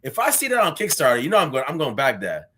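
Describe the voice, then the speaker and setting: Animated male interview host. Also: fairly high pitch, quick pace, breathy edge. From Guyana. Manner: animated storytelling, lots of "man" and restarts. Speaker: podcast host. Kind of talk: casual interview chat.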